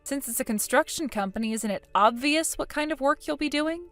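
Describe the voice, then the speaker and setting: A woman's voice: sits high, fairly quick, fairly bright tone, soft background music, pitched above the performer's own voice. Woman playing a smug, blunt, judgmental woman. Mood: accusing. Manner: smug, matter-of-fact, needling. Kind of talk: acted story dialogue.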